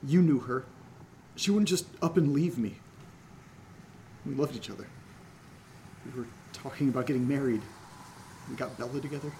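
A man's voice: mid-range voice, normal speed, long pauses, darkish tone, close to an everyday voice, rough recording. A man playing a trusting, dry young man in an audio drama, talking casually. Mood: hurt, insistent. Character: trusting, wounded, dryly teasing young man. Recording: faint background noise.

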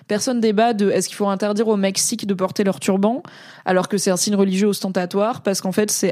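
Casual streamer, woman from France, slangy and hesitant, mid-pitched, close dry mic. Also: mellow, no room sound. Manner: slangy, rambling, with frequent hesitations. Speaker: streamer reading chat and Reddit comments. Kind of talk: casual spoken commentary.

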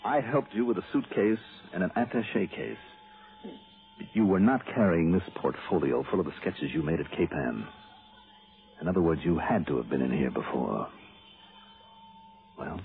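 Low-voiced hard-boiled radio detective. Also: male voice, animated, normal speed, long pauses, a little hiss or hum. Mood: accusing. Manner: clipped, accusing, weary. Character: hard-boiled, world-weary male insurance investigator. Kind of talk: 1960s radio drama acting. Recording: muffled old radio broadcast recording.

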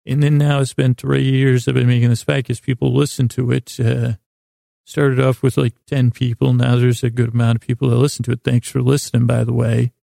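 A man hosting a sleep podcast, telling stories in a casual, meandering way with a gravelly voice, often halting and trailing off mid-thought. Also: medium pitch, flat delivery, mellow, dry recording, studio quality. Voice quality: gravelly, creaky voice. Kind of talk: rambling casual bedtime storytelling monologue.

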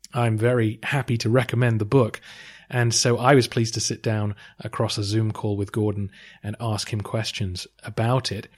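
A man hosting a history podcast, reading a measured introduction and then interviewing a guest. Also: mid-range voice, darkish tone. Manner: measured, occasional um and uh. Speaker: podcast host.

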